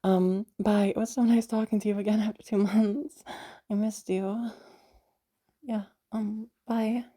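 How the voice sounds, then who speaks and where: A woman's voice: mid-range voice, flat delivery, a little slow, dark tone, voice pushed up, natural voice. A woman voicing a sweet, bubbly, nervous young woman chatting in a roleplay audio drama, hesitant, giggly and stammering. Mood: cheerful, flustered. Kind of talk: roleplay audio drama, one side of a phone chat.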